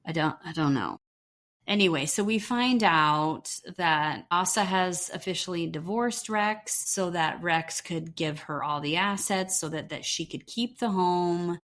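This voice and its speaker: A woman with a slightly low-pitched voice speaks as a podcast co-host. She recaps television in a casual, conversational way, like chatting with a friend. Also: measured pace, a bit bright.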